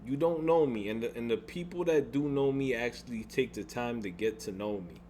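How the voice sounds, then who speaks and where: A young man with a deep voice vents in a home-made gaming vlog. He rambles, repeats himself and swears, and a faint electrical hum sits under his speech. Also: normal speed, mellow. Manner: frustrated, rambling with frequent restarts and swearing. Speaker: gaming YouTuber. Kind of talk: casual venting vlog.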